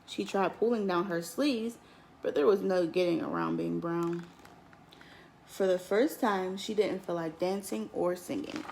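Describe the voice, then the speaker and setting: A woman teacher reads a children's picture book aloud, lifting her voice on key words in a lilting, expressive way. There is a slight gravelly fry in her voice. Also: fairly low pitch, a little slow, slight background noise, low-quality recording. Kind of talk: storybook read-aloud.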